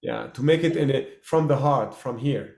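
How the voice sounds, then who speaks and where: A man from Lebanon hosting a casual interview, halting and full of fillers and restarts. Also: mid-range voice, vocal fry, echoey room, a crowd in the background. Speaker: host. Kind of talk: casual video-call interview.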